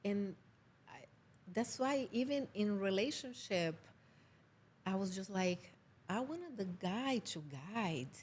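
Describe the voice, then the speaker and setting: A Portuguese-speaking woman from Brazil with a Brazilian accent, a film producer talking casually in a podcast interview and pausing to search for English words. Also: mid-range voice, animated, darkish tone, a little hiss or hum. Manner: halting, searching for English words, laughs often.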